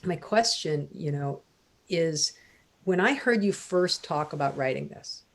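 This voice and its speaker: Woman, low voice, thoughtful, hesitant, lots of fillers, author chat. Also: animated, a little slow. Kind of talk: casual literary conversation.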